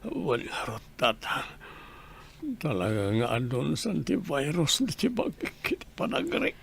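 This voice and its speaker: A man's voice: on the high side, huge pitch swings, a little slow, creaky, breathy edge, full character voice. A man with an Ilocano accent playing a weary, sickly, remorseful son pleading in a radio drama. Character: sickly, remorseful grown son who abandoned his family.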